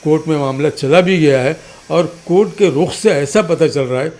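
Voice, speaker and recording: A man's voice: on the high side, moderate pace, darkish tone. A man talks as a podcast guest. He argues like an orator, stressing his points and stacking parallel phrases for weight. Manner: emphatic, oratorical, repeats phrases in parallel for effect. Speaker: podcast guest, community activist. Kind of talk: impassioned political interview talk.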